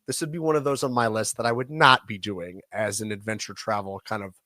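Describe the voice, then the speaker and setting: A man co-hosting a casual news podcast, thinking out loud and hedging. His voice is lowish. It is recorded close and dry, with no room sound. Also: fairly even intonation, brisk, dry recording. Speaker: podcast co-host.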